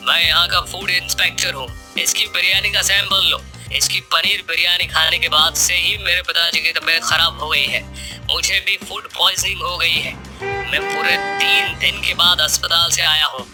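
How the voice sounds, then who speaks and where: A woman's voice: fairly low pitch, expressive, moderate pace, sharp tone. A woman voicing a stern, suspicious male food inspector, questioning and accusing. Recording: music bed behind the voice.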